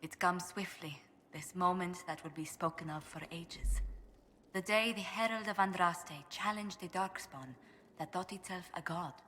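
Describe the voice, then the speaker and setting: A woman's voice: lowish voice, normal speed, fairly bright tone, big-room sound, slight background noise, close to an everyday voice. A woman voicing a gracious, poised diplomat. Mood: solemn. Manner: polished, courteous and elegant. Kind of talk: video game voice acting.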